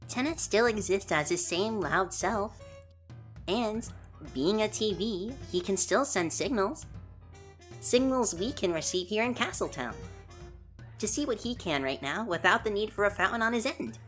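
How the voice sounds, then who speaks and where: Woman voicing a gentle, earnest young prince. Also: medium pitch, expressive, measured pace, faint music behind. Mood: reassuring, explaining. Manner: soft-hearted, patiently explaining. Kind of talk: audio drama acting.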